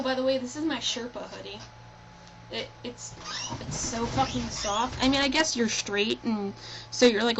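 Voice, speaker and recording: A woman's voice: fairly high pitch, vocal fry. A young adult woman talking informally and playfully, as in a homemade video chat or storybook read-aloud. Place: indoors, recording on a personal computer. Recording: noisy home computer recording.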